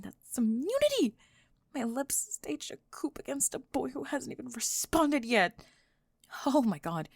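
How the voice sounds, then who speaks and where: A woman's voice: fairly high pitch, no echo. Woman voicing a young goth bully, flustered, secretly smitten, breathless rambling, stammering, ASMR roleplay, close dry sound. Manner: rambling, stammering, breathless panicked over-explaining.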